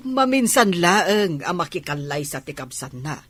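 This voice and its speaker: Actress as slow, husky middle-aged mother. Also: on the low side, big pitch swings, no room sound. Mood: wistful. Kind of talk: Ilocano radio comedy drama acting.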